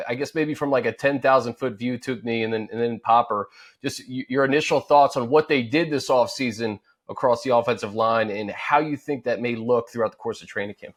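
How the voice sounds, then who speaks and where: American man hosting, medium pitch. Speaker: sports show host. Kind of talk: conversational hosting, posing questions.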